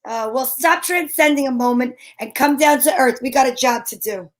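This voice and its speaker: A woman's voice: high-pitched, moderate pace, close to an everyday voice. A woman playing a brash, slangy, wry female private eye in an old-time radio detective drama. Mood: wry, impatient.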